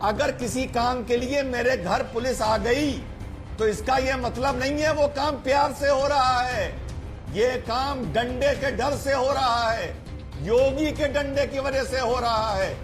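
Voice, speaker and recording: A man's voice: very high-pitched, measured pace. A man speaking as an advocate on a televised news debate panel, arguing in an emphatic, combative way. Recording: TV broadcast with music behind.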